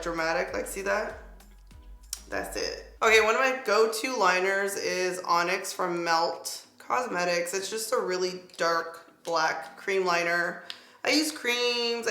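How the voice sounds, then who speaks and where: A chatty young woman with a slightly raspy voice, talking through a makeup tutorial at home and filling her sentences with "like.". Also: mid-range voice, a bit bright. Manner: chatty, lots of "like" fillers, upbeat product asides. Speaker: beauty vlogger. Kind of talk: casual makeup tutorial chat. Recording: echoey room with faint music.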